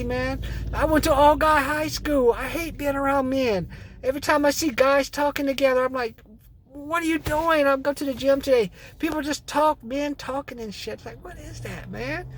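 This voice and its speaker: A man's voice: very high voice, fairly bright tone. A man, ranting casually and bluntly to his viewers, rambling and swearing as he goes. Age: 50s. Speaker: vlogger. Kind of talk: casual rant to camera.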